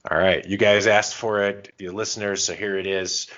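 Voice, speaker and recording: Very deep-voiced man, casual. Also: level tone, normal speed, fairly bright tone, muffled recording. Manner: jokey, bantering, self-deprecating. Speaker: podcast co-host. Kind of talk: casual chat.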